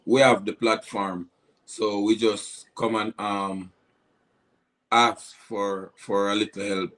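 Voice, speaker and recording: A Jamaican-accented man talks casually as a vlog co-host. His voice is fairly low, and his delivery is informal and conversational. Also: level tone, slow pace, fairly bright tone, poor audio. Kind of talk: casual chat.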